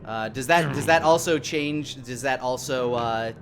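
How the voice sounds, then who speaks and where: A man's voice: mid-range voice, measured pace. A man in his thirties narrating and making rulings as game master on a live tabletop game stream. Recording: background music behind the voice. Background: music.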